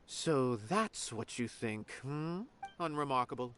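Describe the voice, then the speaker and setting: A man's voice: medium pitch, lively intonation, slow pace, a bit bright. An actor playing an aloof male theater observer who talks down to people and muses on art, over game music. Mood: lofty. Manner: lofty and condescending.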